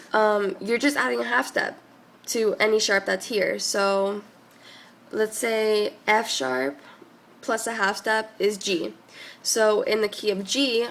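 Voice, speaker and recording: A young woman with a stuffy, congested voice explains music in a casual, rambling way, filling gaps with "um" and "like". Her voice has a gravelly edge of fry. A faint hiss sits under the recording. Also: medium pitch. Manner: chatty, many "um" and "like" fillers. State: congested from a cold. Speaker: music student tutor. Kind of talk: casual tutorial.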